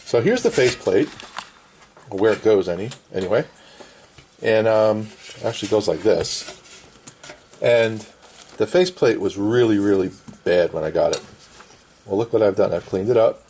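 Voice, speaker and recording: Middle-aged male restorer, slightly low voice, casual plain-spoken bench demo, faintly noisy camera sound. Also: moderate pace, long pauses, a little mellow. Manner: plain, short repetitive explanatory phrases. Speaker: vintage radio restorer and presenter. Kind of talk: casual hands-on repair demonstration. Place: indoor workbench.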